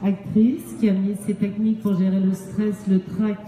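A woman's voice: mid-range voice, flat delivery, moderate pace, dark tone. A middle-aged woman introducing a guest on stage, reading from prepared notes with brief hesitations. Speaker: panel presenter introducing guests. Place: on stage in a large book fair hall. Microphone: handheld microphone, recorded by distant camera. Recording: thin phone-like sound, echoey, faint noise.

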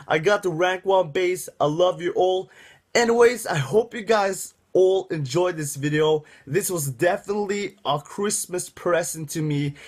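A young man giving excited gameplay commentary from home, calling out to his viewers, his voice going hoarse. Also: high-pitched. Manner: upbeat, calls out to viewers a lot. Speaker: mobile game youtuber. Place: indoors at home.

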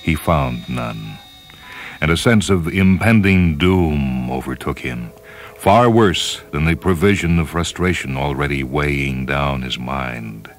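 Extremely deep-voiced male story narrator. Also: expressive. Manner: measured, grave. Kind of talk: dramatic scripted story narration. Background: music.

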